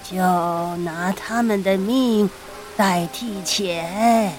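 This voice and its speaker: A woman in an audio drama playing a sly, greedy elderly shopkeeper who drawls and teases with quiet menace. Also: medium pitch, soft background music. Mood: sly, menacing. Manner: slow, drawling, teasing, chuckling menace.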